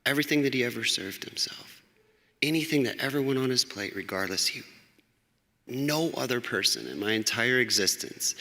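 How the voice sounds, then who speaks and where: A man's voice: medium pitch, creaky, pushed and bright. A man in his forties, a grandson sharing rambling, laughing memories in a casual eulogy in a church. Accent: American. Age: 40s. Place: church with long reverb. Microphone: church microphone. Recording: church hall reverb.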